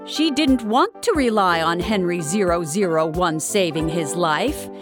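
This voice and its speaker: A woman narrating a scripted audio drama like a storybook, with dramatic, deliberate delivery. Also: fairly low pitch, expressive. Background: background music.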